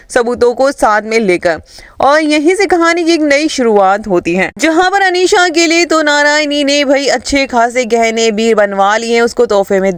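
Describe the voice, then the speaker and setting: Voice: sits high, a bit bright, smooth, dry recording, studio quality. A narrator recapping an upcoming television serial plot for viewers in an emphatic storytelling manner. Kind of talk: dramatic plot recap narration with quoted dialogue.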